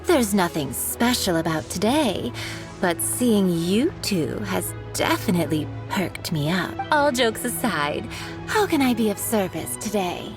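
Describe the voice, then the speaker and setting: A coy teasing shopkeeper. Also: female voice, mid-range voice, sing-song. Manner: playful, coy, drawn-out teasing. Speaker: perfume shop owner and tailor. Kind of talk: game voice acting. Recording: game dialogue over clear music.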